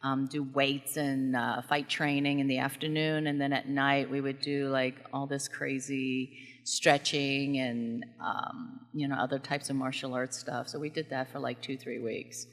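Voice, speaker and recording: A middle-aged woman with a General American accent, talking animatedly as a guest in a live stage interview. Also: very deep voice. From came to the US as a child. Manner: animated, laughs often, quick asides. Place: stage at a live event hall. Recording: long hall reverb.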